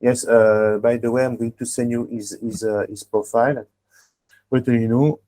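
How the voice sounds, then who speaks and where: Mellow-voiced German-native man coaching applicants. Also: medium pitch, steady tone, normal speed. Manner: emphatic advisory tone, tag questions like "huh?" and "okay?". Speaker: admissions coach and webinar host. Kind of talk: online webinar talk with a student guest.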